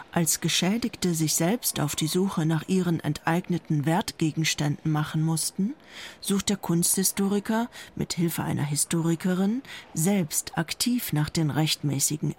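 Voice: mid-range voice, a little hiss or hum. A narrator reading a scripted documentary report.

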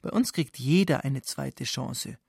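A man's voice: medium pitch, a little slow, gravelly, darkish tone, breathy edge, dry recording, studio quality. A man hosting a radio programme with wry, ironic scripted narration, often putting things as rhetorical questions. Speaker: radio show host.

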